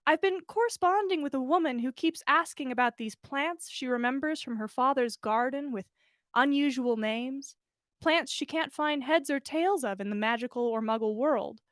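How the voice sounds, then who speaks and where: Storybook male herbologist read by a woman: earnest, wordy, courteous, high-pitched, close dry sound. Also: normal speed, fairly bright tone, dry recording. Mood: sheepish, keen. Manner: formal, wordy, polite. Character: earnest, bookish, absent-minded adult male herbologist.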